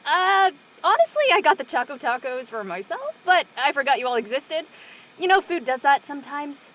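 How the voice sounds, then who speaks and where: Josie, cheeky high-voiced teenage girl, played by a woman. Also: sing-song, normal speed, fairly bright tone, a little hiss or hum. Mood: upbeat, casual. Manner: playful, joking. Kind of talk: conversational acting in an online audio play.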